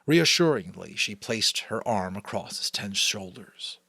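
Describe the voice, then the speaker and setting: A man's voice: fairly low pitch, expressive, unhurried, vocal fry, bright, forceful tone, husky, dry recording. An American man narrates a novel with theatrical flair. His intonation moves widely and his phrasing builds suspense, in a dry recording with little room sound. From United States. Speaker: audiobook reader. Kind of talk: dramatic scripted narration.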